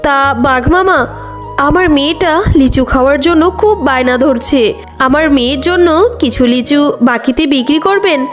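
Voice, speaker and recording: A woman's voice: high-pitched, moderate pace, close to an everyday voice. A woman voicing a weary, poor mother fox in a cartoon, plaintive and firm, over music. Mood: pleading.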